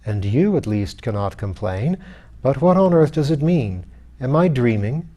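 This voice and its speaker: A man's voice: medium pitch, sing-song, measured pace, highs cut off. A man voicing a cold, sardonic skeleton lady in an audiobook, with a dry, cutting, formally courteous manner. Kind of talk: audiobook character voice.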